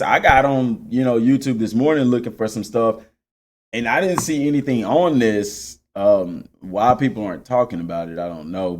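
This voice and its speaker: A man giving casual, unscripted gaming commentary, addressing viewers directly and repeating points to make them clear. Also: on the low side, darkish tone. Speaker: video game channel host.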